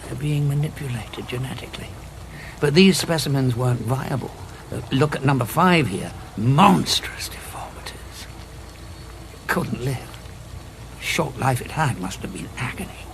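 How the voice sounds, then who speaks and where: An actor playing an inquisitive, grave and compassionate doctor in a sci-fi audio drama, explaining things in a measured way. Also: male voice, mid-range voice, expressive, vocal fry, background noise. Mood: grave, intrigued.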